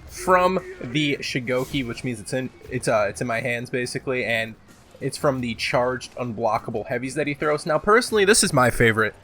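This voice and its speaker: Music plays under a man hosting a casual gaming countdown. He speaks at an ordinary male pitch with a sore throat, rambling along unscripted in slangy, sweary language. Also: expressive, moderate pace. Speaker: video host, gaming commentator. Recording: loud music behind the voice.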